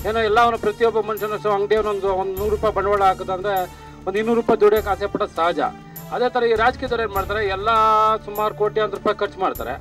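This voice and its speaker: A man giving his opinion in a street interview, emphatic and asking rhetorical questions. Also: high voice. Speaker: member of public interviewed.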